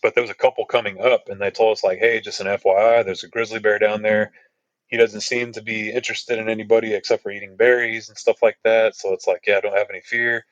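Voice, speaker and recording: A man's voice: flat delivery, fast, dry recording, highs cut off. A man from Texas, telling trail stories on a podcast in a rambling way, full of fillers and asides. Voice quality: deep voice. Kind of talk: casual storytelling.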